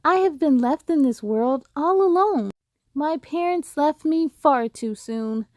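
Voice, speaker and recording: A woman voicing a shy, orphaned young male fawn from a storybook, speaking in a gentle, earnest manner. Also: sits high, lively intonation, unhurried, dry recording, studio quality. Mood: solemn, lonely.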